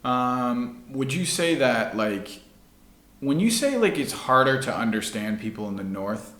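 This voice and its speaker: A man's voice: medium pitch, sing-song, measured pace, a bit bright, roomy sound. A male podcast host chatting in a laid-back way, saying "like" a lot. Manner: laid-back, heavy use of "like". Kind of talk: casual chat.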